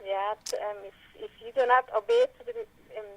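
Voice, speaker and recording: A woman's voice: high-pitched, sing-song, fairly quick, creaky, a bit bright, dry recording. A woman with a Belizean accent phoning in to a radio quiz, answering hesitantly. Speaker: phone-in caller. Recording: muffled, noisy phone line.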